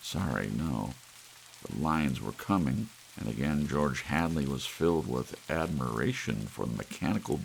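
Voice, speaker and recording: A man narrating a scripted suspense story as an ASMR audiobook, with soft rain behind him. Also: extremely low pitch, gravelly, poor audio. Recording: faint hiss and soft rain.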